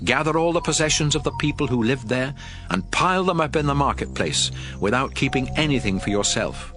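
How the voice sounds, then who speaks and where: A man playing a solemn prophet and lawgiver, exhorting his people in a measured, emphatic dramatized scripture reading. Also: medium pitch, expressive, over music, natural voice. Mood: stern command.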